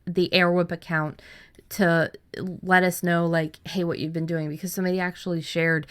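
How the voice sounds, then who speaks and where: A woman with a fairly low-pitched voice hosts a writing podcast. She talks in a loose, chatty way, often restarting and repeating words as she goes. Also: level tone, normal speed, a bit bright, clear, resonant tone. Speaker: podcast host. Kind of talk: casual podcast chat.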